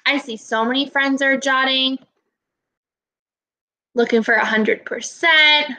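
A woman in her twenties, a teacher giving a read-aloud lesson to young children in a deliberate, instructive way. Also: high voice, sharp tone. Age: 20s. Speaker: elementary school teacher. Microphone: laptop webcam at arm's length.

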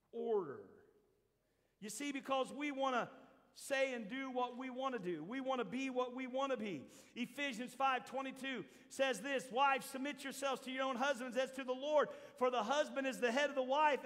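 An older man preaching a sermon as pastor to his church, shifting from quiet asides to shouted points. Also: extremely high pitch. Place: large church hall with a seated congregation. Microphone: handheld mic, roaming the stage. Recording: long hall reverb.

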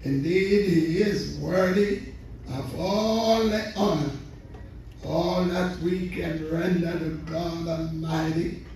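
A slow, measured man with a high voice preaches fervently, repeating his lines and drawing answers from the congregation. He sounds distant from the microphone in a booming, echoing sanctuary. Faint noise sits under the recording. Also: fairly even intonation, a little mellow. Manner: fervent preaching with repeated phrases and call-and-response. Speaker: assistant pastor preaching. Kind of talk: sermon. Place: large church sanctuary.

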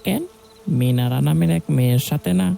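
A man doing a gravelly young village friend. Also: medium pitch, brisk, warm tone, faint music behind. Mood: curious.

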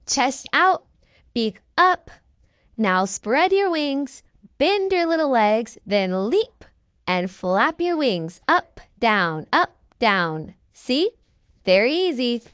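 Woman as storybook mother bird, high swooping voice, slow, gentle, patient, coaxing. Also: sing-song, clear, resonant tone, dry recording, exaggerated voice. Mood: patient instructing. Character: gentle, encouraging mother robin in children's storybook.

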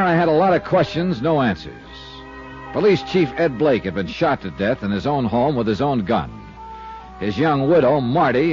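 A man's voice: mid-range voice, darkish tone, lightly acted. An actor playing a wisecracking male insurance investigator who narrates his own case in a dry, wry, matter-of-fact way, in a 1950s radio drama. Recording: dull old radio transcription.

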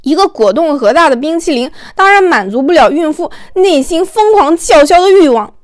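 A woman narrating an audiobook in an expressive, conversational storytelling style. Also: high-pitched, moderate pace, no room sound, studio quality.

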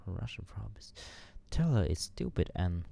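A man's voice: fairly low pitch, very animated, normal speed, vocal fry, dark tone, slightly rough. A man streaming a dating game from his home room on a headset mic, mumbling and joking as he reads out choices. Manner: mumbling, thinking aloud, joking. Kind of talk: let's play commentary.

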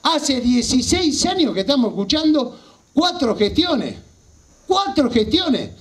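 Argentine guy in his 50s at a rally on a handheld mic, high voice, emphatic, repeats phrases. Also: very animated, unhurried. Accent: Rioplatense Argentine Spanish. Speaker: local party politician. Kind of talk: impassioned political speech. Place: small outdoor rally stage at night. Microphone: handheld microphone.

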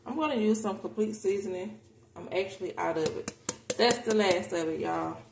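A woman's voice: medium pitch, moderate pace, gravelly. An American woman chatting casually and candidly to viewers as she cooks at home, in an informal way. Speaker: home cooking vlogger. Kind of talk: casual chat while cooking. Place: home kitchen, indoors. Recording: roomy kitchen, noticeable background noise.

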